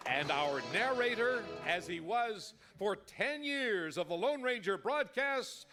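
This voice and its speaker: A man's voice: sits high, lively intonation, slow. A man reading scripted credits as an announcer in old-time radio style. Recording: live stage, loud music and crowd.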